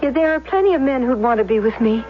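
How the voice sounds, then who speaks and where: Lady acting timid lonely young waitress, high voice, old radio play, muffled w music behind. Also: lively intonation, fast talker, lightly acted. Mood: yearning, lonely. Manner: hesitant, earnest. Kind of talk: 1970s American radio drama acting. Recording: dull old radio recording, loud music.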